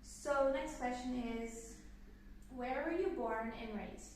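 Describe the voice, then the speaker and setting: A young woman in her twenties, from Canada, chats in a casual sit-down Q&A, upbeat and rambling with plenty of fillers. Her voice sits a touch high. She sounds set back from the mic in a very echoey room with a faint hiss behind her. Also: takes it slowly, a little mellow, distant mic. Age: 20s. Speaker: channel host.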